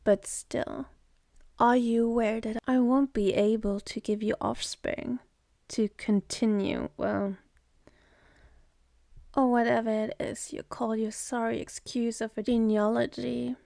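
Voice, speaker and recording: A woman's voice: fairly high pitch, flat delivery, a little slow, gravelly, dark tone, dry recording. A woman voicing a sardonic, seductive demon in an ASMR roleplay, mocking and contemptuous, speaking close to the mic. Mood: exasperated.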